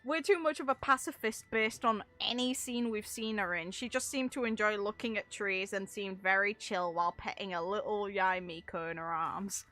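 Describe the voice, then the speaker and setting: A woman game streamer giving casual, animated, unscripted reactions in informal, sweary language. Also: mid-range voice, moderate pace, few pauses.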